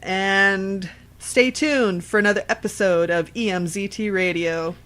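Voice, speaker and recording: A woman's voice: medium pitch, steady tone, measured pace, fairly bright tone. Salty woman hosting a casual podcast. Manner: salty, swearing, emphatic. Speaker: horror podcast host.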